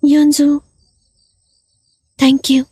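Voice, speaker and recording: A devoted young daughter in a dubbed drama. She speaks earnestly and emotively in a high voice, over clearly heard music. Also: monotone, extremely slow, mellow. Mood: grateful, tender. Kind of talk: dubbed screen drama dialogue.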